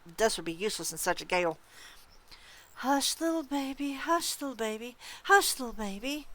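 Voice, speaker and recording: A woman reading a story aloud in dramatic, expressive, suspenseful narration. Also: on the low side, poor audio. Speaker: story reader. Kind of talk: dramatic audiobook-style narration.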